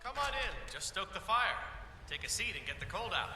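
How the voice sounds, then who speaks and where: An actor playing a hearty tavern innkeeper in a fantasy game, with a folksy, blustery manner. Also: male voice, sits high, sing-song, moderate pace, over loud music. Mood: welcoming. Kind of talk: video game character dialogue.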